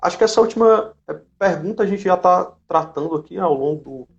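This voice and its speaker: A man's voice: high-pitched, lively intonation, brisk, gravelly. A man with a Brazilian accent hosting a casual live interview chat, recorded in lo-fi live-stream sound. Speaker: host of a company live stream. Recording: dull lo-fi live-stream audio, faint music.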